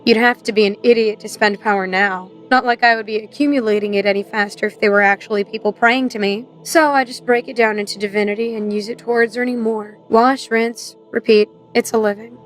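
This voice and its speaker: A woman's voice: a little high, fairly even intonation, a little fast, hardly any pauses, soft background music, natural voice, rough recording. A woman playing a guarded, cynical goddess who works as an assassin, curt and dismissive, in an audio drama. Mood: jaded, dismissive.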